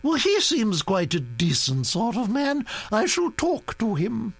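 Imperious elderly duchess played by an audiobook reader; high, sweeping, slow and dry. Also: big pitch swings, gravelly, no echo, exaggerated voice. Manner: commanding, theatrical. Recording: dry recording with mains hum.

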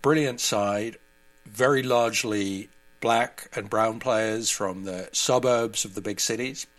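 A man's voice: lowish voice, takes it slowly, a bit bright. A man speaking as an interview guest, giving conversational analysis and commentary.

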